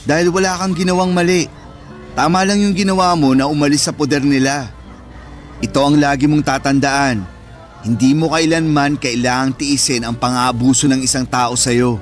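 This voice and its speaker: An actor playing a protective, caring older brother in an emotional radio drama, speaking earnestly and emphatically. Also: male voice, fairly high pitch, fairly even intonation, normal speed, faint music behind, natural voice. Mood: firm, earnest. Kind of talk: radio drama acting in Tagalog.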